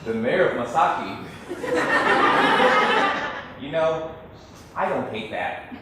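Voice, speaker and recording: A man's voice: medium pitch, lively intonation, takes it slowly. A man acting a breezy, self-satisfied playboy son in a stage play. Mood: mock offended. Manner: flippant, self-satisfied, theatrical. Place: indoor theatre stage, recorded from far off. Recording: echoey theatre, distant mic, faint noise.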